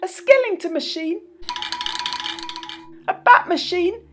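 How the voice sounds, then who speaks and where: A woman's voice: extremely high pitch, slow pace, faint music behind, voice pushed up. A woman reading a children's storybook, voicing a timid, funny little man who is meek and pleading. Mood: pleading, confessing. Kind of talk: children's storybook read-aloud.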